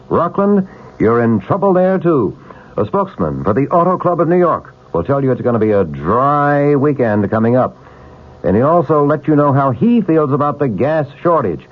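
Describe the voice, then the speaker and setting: A male radio newsman delivers a promo in short, punchy, dramatic phrases. His pitch moves a lot, and the old broadcast sounds thin and tinny, like a telephone. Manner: clipped, punchy, dramatic questions and answers. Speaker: radio news presenter. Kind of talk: 1970s radio news promo. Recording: tinny band-limited vintage radio broadcast.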